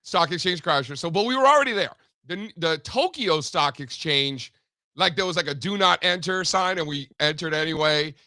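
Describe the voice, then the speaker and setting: Animated joking male show host, bright voice. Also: on the high side, normal speed, no echo. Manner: joking, mock-serious storytelling. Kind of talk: casual banter and storytelling.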